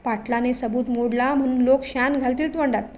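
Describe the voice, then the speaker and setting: A woman's voice: sits high, fairly even intonation, a little fast, lightly acted. A woman doing a pleading village mother. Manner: pleading, emotional.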